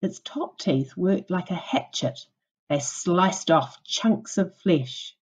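A woman with a lowish, softly mellow voice reads a children's non-fiction book like a primary teacher. Her intonation moves up and down a lot, and her phrasing is instructive and easy for young listeners to follow. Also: lively intonation, takes it slowly, breathy edge. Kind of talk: children's non-fiction read-aloud lesson.

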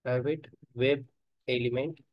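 A man's voice: mid-range voice, steady tone, no echo, highs cut off. Man thinking aloud through a coding tutorial. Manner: halting, thinks aloud while typing, frequent uh fillers. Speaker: software testing instructor. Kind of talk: screen-recorded coding tutorial.

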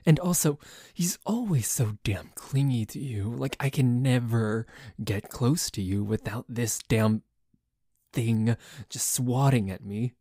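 A man's voice: mid-range voice, slow pace, mellow, no room sound, studio quality. A man playing a dorky, jealous boyfriend in an ASMR roleplay, complaining in a stammering, exasperated way that sometimes drops toward a whisper. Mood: annoyed, whiny. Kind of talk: ASMR roleplay audio drama.

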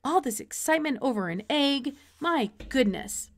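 A woman's voice: high voice, animated, normal speed, pitched above the performer's own voice. A woman voicing a fussy, unimpressed farm mother in a storybook, grumbling and exclaiming. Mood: fussing. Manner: fussing, exclamatory.

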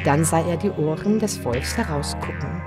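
A woman's voice: sits low, moderate pace. A woman narrating a scripted bedtime story for children. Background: music and flute behind the voice.

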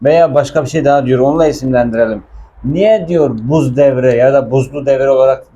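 A man's voice: medium pitch, brisk, warm tone, highs cut off, slight background noise. A man giving an informal language lesson, patiently repeating and explaining words as a teacher.

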